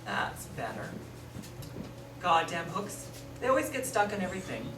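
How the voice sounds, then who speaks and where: A woman playing a vain, sharp-tongued drag queen, bossy and theatrical, in a stage drama. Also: fairly low pitch, very animated, moderate pace. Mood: grumbling. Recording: echoey room, distant mic, noisy.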